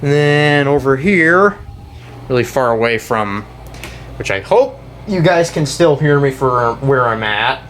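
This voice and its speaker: A young American man giving a casual, off-the-cuff show-and-tell, talking in a halting, rambling way and often correcting himself. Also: medium pitch, expressive, a bit bright. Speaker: hobbyist video creator. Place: indoors in a house. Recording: noisy room with air-conditioner hum.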